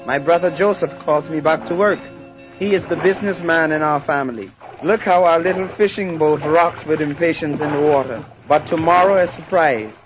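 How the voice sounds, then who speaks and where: An actor playing a proud, friendly island diver with formal phrasing on a 1970s adventure record. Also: male voice, a little high, moderate pace, lightly acted. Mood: friendly, inviting. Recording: muffled old record with faint music.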